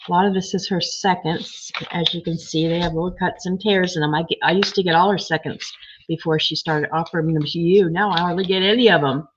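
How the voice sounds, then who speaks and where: A woman with a slightly froggy, hoarse voice, chatting casually as she works on a craft project and reads viewer comments. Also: on the low side, steady tone, brisk, a little hiss or hum. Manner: chatty, thinking aloud while working, reading comments. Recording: dull lo-fi sound.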